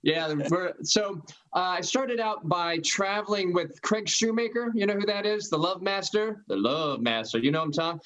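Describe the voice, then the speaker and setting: A man's voice: sits high, moderate pace, fairly bright tone. A male comedian, a guest on a podcast, telling stories in a jokey, chatty way. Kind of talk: casual interview chat.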